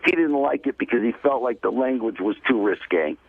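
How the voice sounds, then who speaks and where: A man's voice: mid-range voice, lively intonation, brisk, gravelly, slight background noise. A man calling into a radio show over a muffled phone line, profane and insistent, cutting in as he talks. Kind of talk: casual phone-in chat. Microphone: telephone.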